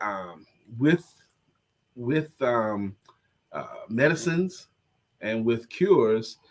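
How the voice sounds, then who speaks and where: A man's voice: mid-range voice, a bit bright. A congested man co-hosting a casual live-stream chat, joking and self-deprecating. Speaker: co-host and coach.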